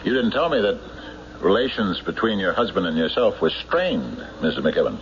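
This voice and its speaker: A probing american sheriff, deep voice that moves around, old radio drama, muffled. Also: male voice, big pitch swings, faint music behind. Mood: pressing, accusing. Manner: deliberate, probing questioning with measured pauses. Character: suspicious, probing male county sheriff. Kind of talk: radio mystery drama acting.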